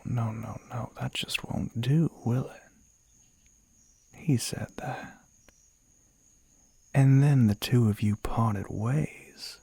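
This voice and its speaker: A man acting as a male crossroads demon for an ASMR roleplay, suave and flirtatious. He speaks in an unhurried Southern US drawl with a gravelly creak, breathy and close. His manner is gentlemanly and teasing, often sinking to a murmur. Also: mid-range voice, no room sound, heavily acted. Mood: soothing, attentive.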